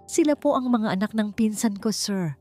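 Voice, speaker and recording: A Filipino-accented woman voicing a frightened, polite young mother straining to sound steady in a romance audio story. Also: medium pitch, normal speed, soft background music, voice pushed up, close to an everyday voice. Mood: forcedly steady. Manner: polite, forcedly steady, hesitant. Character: frightened, deferential young mother hiding a secret.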